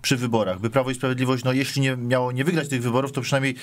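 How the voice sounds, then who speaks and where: A man in his thirties moderating a television political debate. He talks quickly and presses his guests with pointed, challenging questions. His pitch sits mid-range. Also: fairly even intonation. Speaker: TV host, moderator. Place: TV studio.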